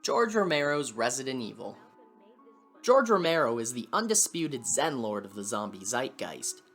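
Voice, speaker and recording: A woman hosting a video series, reading scripted video essay narration with wry asides. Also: extremely low pitch. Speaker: host of a video series on lost media.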